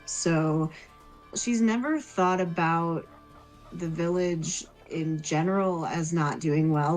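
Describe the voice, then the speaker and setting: A woman talks through her tabletop character's thoughts. Her voice is on the low side and slow, casual and reflective, with halting phrases over background music. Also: darkish tone, poor audio. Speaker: tabletop game player. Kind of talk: thinking aloud about character, casual.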